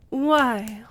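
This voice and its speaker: A woman playing a haughty female vampire noble in an audio roleplay, menacing and seductive, imperious and teasing, with breathy whispered drops. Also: fairly high pitch, fairly bright tone, a little hiss or hum. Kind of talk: dramatic audio roleplay acting. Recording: echoey hall-like reverb.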